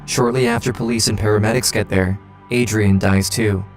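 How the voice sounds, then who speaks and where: A man's voice: lowish voice, fairly even intonation, moderate pace, soft background music. A man reading a dramatic scripted true crime narration. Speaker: documentary narrator.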